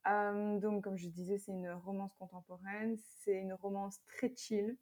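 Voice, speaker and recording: A woman's voice: medium pitch, little pitch movement, unhurried, darkish tone. A woman in her mid twenties from Belgium, chatting casually as an author being interviewed.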